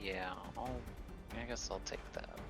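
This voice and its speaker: A man's voice: low-pitched, a little slow, gravelly. A man playing a tabletop roleplaying game, chatting casually and thinking aloud. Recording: background music under the voice.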